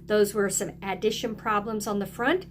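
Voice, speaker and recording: A woman's voice: mid-range voice, fairly even intonation, normal speed, a little hiss or hum. A woman teacher talking to young children in a video lesson, encouraging them and inviting them to join in. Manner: encouraging, asks children to repeat along. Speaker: early-grade teacher.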